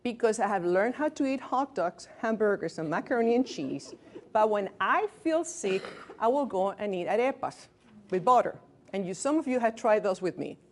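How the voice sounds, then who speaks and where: A woman's voice: medium pitch, expressive, normal speed, a little hiss or hum. A woman in her late thirties from Venezuela with a Venezuelan Spanish accent. She is a physician giving a training talk to a small group in a room, candid and self-deprecating with joking asides, and she often restarts words. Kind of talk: lecture to a workplace group.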